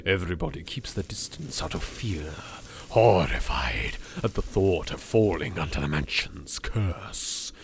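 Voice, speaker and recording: A man's voice: low voice, animated, unhurried, hardly any pauses, slight background noise, voice pushed down. A man voicing an anxious, frightened young woman exploring a haunted mansion, reading horror visual novel text theatrically. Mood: brooding, uneasy.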